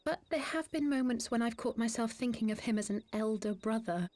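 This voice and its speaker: An actress playing an earnest, dignified noblewoman and loyal ally in a fantasy game, with formal, measured delivery. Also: fairly high pitch, a little mellow, lightly acted. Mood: reflective. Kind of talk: dramatic fantasy video game acting.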